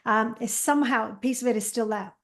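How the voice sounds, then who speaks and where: A woman speaks as a guest on a podcast, enthusiastic and meandering, with lots of fillers. Her voice sits a touch higher than most. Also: brisk. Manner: enthusiastic, many fillers and restarts. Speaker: author and meditation coach, podcast guest. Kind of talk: interview chat.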